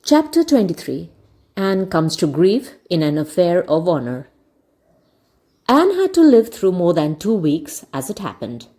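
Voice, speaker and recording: A woman narrator reading a classic children's novel aloud in an expressive storybook style. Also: fairly low pitch, unhurried. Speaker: audiobook narrator.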